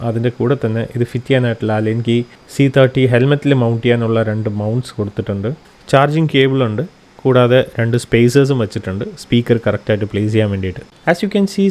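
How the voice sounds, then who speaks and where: A Malayali man vlogger delivers scripted review narration at a quick clip. His voice sits at a middling pitch, and he slips English phrases into his speech. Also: a little mellow. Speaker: vlogger reviewing motorcycle gear.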